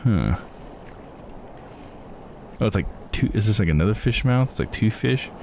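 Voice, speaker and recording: A man's voice: lowish voice, expressive, normal speed, a little mellow, slight background noise. A man doing casual let's play commentary, thinking aloud and reading game text out. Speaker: video game streamer. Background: game music.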